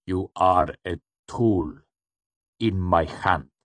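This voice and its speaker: A man voicing a hooded dark lord in a fantasy story, cool and soft, speaking with patient, polite menace. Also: sits low, husky, no room sound, exaggerated voice. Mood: gentle, cold. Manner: calm, polite, deliberate menace.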